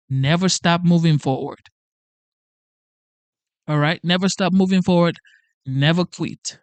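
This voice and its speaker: A man's voice: high voice, smooth, no room sound. Emphatic man's dry pep talk. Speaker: channel host. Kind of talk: motivational livestream talk to camera. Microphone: facing a camera.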